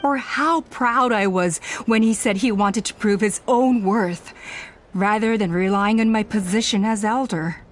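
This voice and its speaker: A woman's voice: medium pitch, a little slow, a little hiss or hum, lightly acted. An actress playing a proud, scheming female clan elder who makes grand, persuasive speeches in a dramatic video game style. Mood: pained, bitter.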